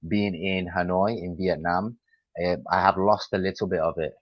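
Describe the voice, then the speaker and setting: A young man with a Mancunian accent talks easily over a video call, his voice sitting very low and unhurried. He fills pauses with ums and restarts as he explains things. The call audio is dull and dry. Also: no echo. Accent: Mancunian English. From Manchester, England. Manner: relaxed, chatty, many fillers and restarts. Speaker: English tutor. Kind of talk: casual video-call conversation.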